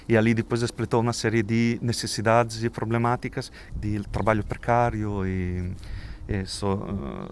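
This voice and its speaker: A man from Italy speaking with an Italian accent, his voice on the low side. He talks haltingly and restarts often, thinking aloud, while a faint outdoor hum sits behind him. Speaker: community educator. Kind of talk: street interview. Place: outdoors in a residential neighbourhood.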